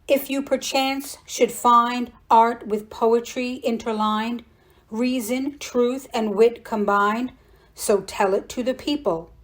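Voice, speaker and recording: A woman reciting poetry in a deliberate, declamatory way. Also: on the high side. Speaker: poetry reader.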